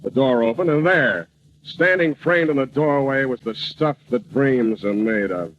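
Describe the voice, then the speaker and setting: An actor playing a cocky, wisecracking hard-boiled private eye, narrating haltingly and deadpan in a radio parody. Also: male voice, mid-range voice, close to an everyday voice. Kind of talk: old-time radio detective parody. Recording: muffled, noisy old radio broadcast.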